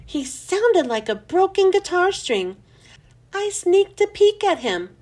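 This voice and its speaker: High, animated woman reading a children's story. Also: slow. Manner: animated, storytelling for young listeners. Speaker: children's book read-aloud host. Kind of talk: expressive scripted children's story narration.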